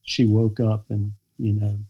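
An American man, a podcast guest, telling a personal family story in a halting, reflective way with many pauses and fillers. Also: fairly low pitch, completely flat delivery, husky, dull audio. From United States. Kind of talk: podcast interview conversation.

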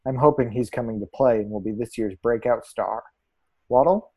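A man playing a measured, analytical co-host of a theatre prediction show, trading scripted podcast commentary. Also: mid-range voice, dull audio, lightly acted. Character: measured, analytical co-host giving casting odds.

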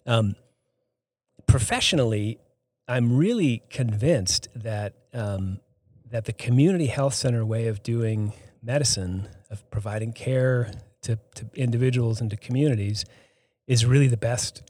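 A middle-aged man from the Boston area, a physician and health center CEO, answering interview questions thoughtfully with many pauses and restarts. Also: mid-range voice, a little mellow, no room sound. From Boston area, United States. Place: studio.

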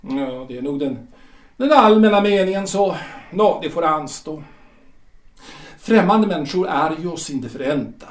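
A wry male guide to the dead, played by a man for an audio drama, who probes and reads out verdicts with measured pauses. His voice sits high and swoops lively across its range. The recording is roomy and echoey. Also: lightly acted. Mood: probing. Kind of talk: amateur audio drama acting. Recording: echoey room.

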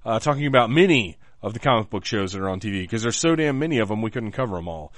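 Low-voiced podcast host guy, stuffy nose, scratchy, rambly and joking, dry close mic. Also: lively intonation, fast talker, no echo. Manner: rambling, self-deprecating, many restarts. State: nasal and scratchy from a cold. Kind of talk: casual podcast chat.

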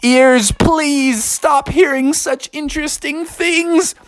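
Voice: high voice, slow, pitched above the performer's own voice. A storyteller voicing an eager young male bear cub who is easily distracted and pleads when things go wrong. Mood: pleading. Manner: excitable, whiny pleading.

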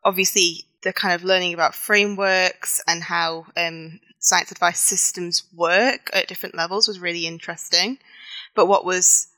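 A woman's voice: lowish voice, steady tone, moderate pace, pushed and bright, no echo, poor audio. A young woman with a Manchester accent, a podcast guest answering thoughtfully with some fillers and restarts. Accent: Mancunian English. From England. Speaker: podcast guest, former student. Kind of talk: conversational interview answer.

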